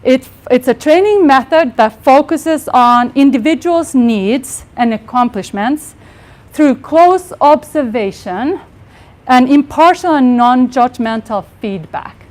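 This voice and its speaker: Soft-voiced woman giving a workshop talk. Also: high-pitched, expressive, takes it slowly. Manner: earnest, many fillers and restarts. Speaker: workshop speaker. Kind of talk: conference talk. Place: live workshop room with audience.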